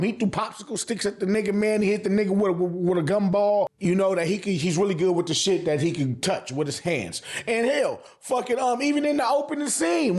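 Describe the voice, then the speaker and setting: High voiced guy doing a hype anime review, super slangy, cusses a lot, hammers his points. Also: a little mellow. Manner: slangy, heavily profane; emphatic, repeats phrases for effect. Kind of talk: casual hype review commentary.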